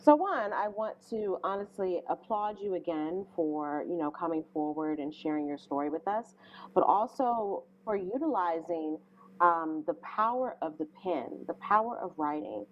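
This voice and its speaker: A woman's voice: on the low side, lively intonation, moderate pace, lo-fi sound. A woman co-hosting an interview, speaking earnestly and thoughtfully with a big voice and many fillers. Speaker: co-host. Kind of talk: interview questions.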